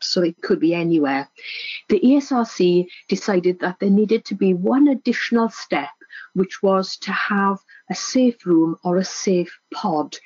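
A woman with a Welsh accent giving a measured, explanatory research presentation over slides, now and then restarting a phrase. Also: fairly low pitch, a little mellow, husky, no echo, muffled recording.

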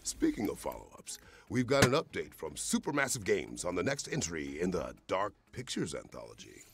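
A man's voice: deep voice, huge pitch swings, normal speed, slight background noise. A man presenting scripted announcements as a showcase host.

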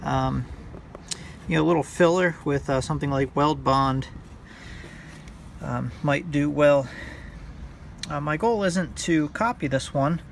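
Gravelly man giving an outdoor tutorial. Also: on the high side. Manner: halting, thinking aloud while working. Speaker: hobbyist demonstrating a repair. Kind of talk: unscripted hands-on tutorial. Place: outdoors by a car on a windy day. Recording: clear outdoor background noise.

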